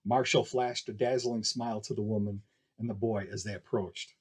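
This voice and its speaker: A man's voice: fairly low pitch, fairly even intonation, moderate pace, slightly rough. A man reading scripted fiction aloud as a narrator.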